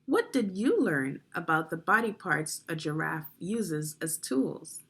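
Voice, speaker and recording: A woman's voice: lowish voice, very animated, takes it slowly, creaky, fairly bright tone, breathy edge. A woman reading children's nonfiction aloud as a teacher, instructive and putting guiding questions to young listeners. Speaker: read-along narrator and reading teacher.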